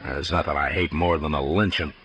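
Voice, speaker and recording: Deep-voiced, brooding frontier marshal. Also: male voice, steady tone, a little fast, a bit bright. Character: troubled, plain-spoken Old West frontier marshal, adult man. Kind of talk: 1950s radio western acting. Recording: noisy 1950s radio recording.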